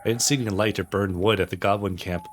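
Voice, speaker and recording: A man's voice: lowish voice, steady tone, fast talker, a little hiss or hum, lightly acted. A man voicing a practical, blunt, teasing male hunter in a visual novel, speaking matter-of-factly. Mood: practical, confident. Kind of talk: visual novel character read aloud.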